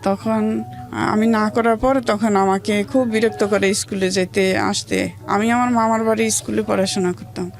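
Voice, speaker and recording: A woman from Bangladesh with a Bangladeshi accent, telling a personal life story as an interview guest in plain, halting, colloquial speech. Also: mid-range voice, steady tone, faint music behind.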